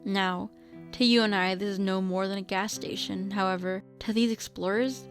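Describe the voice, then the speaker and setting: A woman's voice: mid-range voice, fairly even intonation, moderate pace. Female narrator, average register, scripted storytelling for radio drama, music bed underneath. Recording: music behind the voice.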